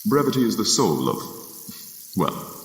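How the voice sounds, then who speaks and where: A young man acting in a radio drama as a courteous, wry official of death, speaking in measured, polite phrases with droll pauses. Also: on the low side, sing-song, vocal fry, reverberant, in a large hall, slight background noise, full character voice. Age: young adult.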